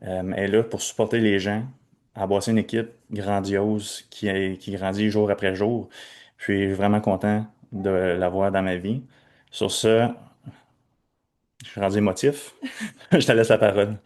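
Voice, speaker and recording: A man's voice: on the low side, steady tone, normal speed, darkish tone. A young man with a Québécois French accent, hosting a webinar in a chatty, slangy way. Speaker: webinar host introducing speakers. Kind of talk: casual talk.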